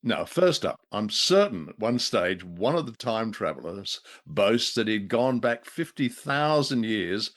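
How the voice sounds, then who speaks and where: A man's voice: fairly low pitch, very animated, measured pace, hardly any pauses. A man speaking as a podcast co-host, discussing an old radio show.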